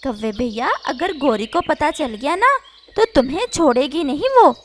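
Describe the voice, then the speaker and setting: A woman voicing a kind, agreeable little female sparrow in a children's cartoon, with a gentle, reasoning manner. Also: high-pitched, animated, normal speed. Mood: concerned.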